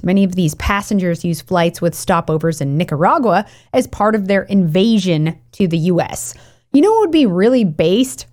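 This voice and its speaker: A woman's voice: mid-range voice, a little mellow. A woman co-host reading scripted news commentary with pointed emphasis. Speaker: show co-host.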